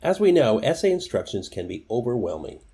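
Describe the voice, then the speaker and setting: Lively man with a mellow, husky voice. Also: medium pitch, brisk. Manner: instructive, addresses the viewer directly. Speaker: presenter of writing tips. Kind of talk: scripted instructional narration.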